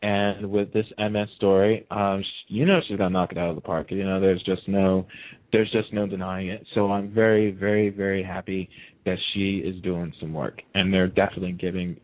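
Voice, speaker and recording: Low-voiced man hosting over a phone-like line. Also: level tone, normal speed. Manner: emphatic, repeats phrases for stress. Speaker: radio show host. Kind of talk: casual opinionated soap opera chat. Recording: narrow, phone-like radio line.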